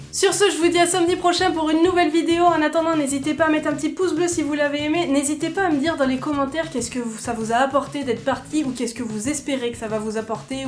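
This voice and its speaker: A young woman vlogging casually to camera, chatty and self-mocking, with quick asides. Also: high-pitched.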